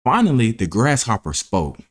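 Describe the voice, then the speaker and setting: A man narrating a scripted children's story as a read-aloud, deliberate and expressive. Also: mid-range voice. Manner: deliberate, expressive, enunciating for young listeners.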